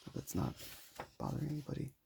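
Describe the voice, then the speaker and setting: A young man with a raspy voice, talking casually and modestly while showing his sketches. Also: mid-range voice, very animated, fast, slight background noise. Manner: soft-spoken, self-deprecating, rambling.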